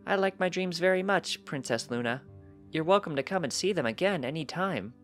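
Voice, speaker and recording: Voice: medium pitch, expressive, a little fast, a bit bright, soft background music, pitched above the performer's own voice, close to an everyday voice. A narrator voicing a precocious young pony colt, earnest and cheeky, chatting eagerly in a matter-of-fact way for a story reading. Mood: bright, chatty.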